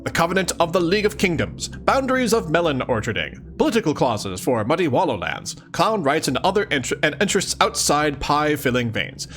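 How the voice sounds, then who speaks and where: A man's voice: on the high side, very animated, fairly quick, few pauses, a bit bright, faint music behind. A man doing a live read-aloud, switching between theatrical mock-solemn reading and casual chatty asides. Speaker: streamer reading a webcomic aloud for the first time.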